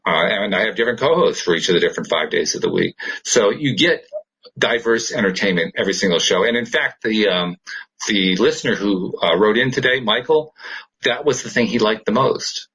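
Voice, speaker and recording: A man hosting a casual podcast conversation in an upbeat, enthusiastic manner, with a husky, rough-edged voice. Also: on the low side, animated, brisk, a bit bright, poor audio. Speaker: podcast host.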